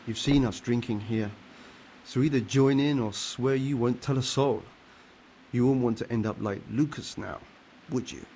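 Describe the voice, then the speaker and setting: An actor playing a jovial, sly older medieval monk in a video game, with a hearty, coaxing manner. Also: male voice, mid-range voice, normal speed, background noise, close to an everyday voice. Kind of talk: video game cutscene acting.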